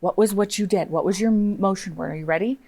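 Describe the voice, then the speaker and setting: A woman's voice: mid-range voice, fast talker, mellow. An American woman co-hosting a kindergarten lesson, chatting expressively with questions and oohs for young children. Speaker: kindergarten teacher, TV classroom co-host.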